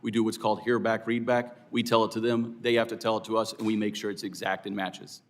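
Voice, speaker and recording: Flat-voiced male witness testifying. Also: on the low side, fast talker. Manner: polite, formal, steady. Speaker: former air traffic controller, witness. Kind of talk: hearing testimony. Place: hearing room.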